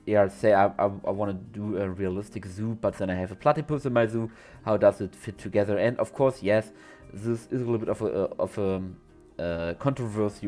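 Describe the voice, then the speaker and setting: A man's voice: on the low side, a little mellow. A young man with a cold, voice slightly off, chatting and rambling through a game commentary voice-over with many restarts. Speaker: zoo-building game creator.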